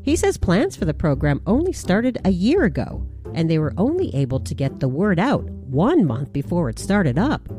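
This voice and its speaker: A woman hosting a radio show, narrating a scripted feature in a measured, deliberate way. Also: lowish voice, wildly swooping pitch, dark tone, soft background music.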